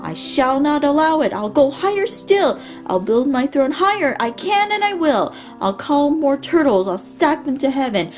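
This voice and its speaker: A woman's voice: high-pitched, sing-song, normal speed, few pauses, a little mellow, soft background music, voice pushed up. A woman voicing a pompous, boastful male turtle king who bellows his commands.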